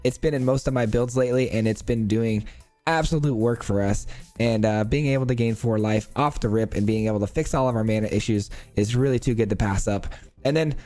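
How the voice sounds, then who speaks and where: A man with a hoarse voice from illness, casually breaking down a card game deck for his channel viewers in an upbeat, chatty way. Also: medium pitch, fairly quick, mellow, soft background music. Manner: upbeat, thankful, chatty with fillers. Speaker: card game video host.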